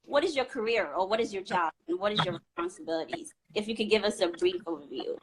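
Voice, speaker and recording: A woman's voice: mid-range voice, quick pace, noisy background. A woman hosting an online interview, friendly and laughing often as she asks questions. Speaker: host, interviewer.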